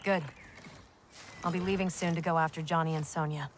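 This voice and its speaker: A brash young soldier voiced by a woman with a lowish voice, brisk and commanding. She sounds like game cutscene dialogue. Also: steady tone, slight background noise, natural voice. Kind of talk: video game cutscene acting.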